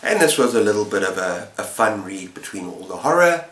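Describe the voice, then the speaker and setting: A man's voice: on the low side, big pitch swings, brisk, fairly bright tone, slight background noise. A middle-aged man chatting casually as the host of a book-review vlog. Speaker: booktuber host. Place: indoor room lined with bookshelves. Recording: roomy, echoey indoor sound.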